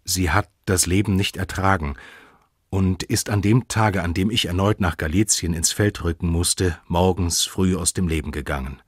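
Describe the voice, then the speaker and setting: A grave, weary man from 1915 in a radio documentary. His voice is very low and rough, dry and close, reading in sombre, measured phrases over faint music. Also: no echo.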